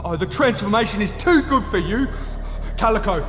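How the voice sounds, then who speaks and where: A very high, shrill male gnome king, blustering and paranoid in a fantasy radio play. He rings through a reverberant hall with music underneath. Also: animated, normal speed, fairly bright tone. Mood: alarmed, outraged. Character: paranoid, tyrannical male Nome King terrified of chickens. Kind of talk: audio drama acting.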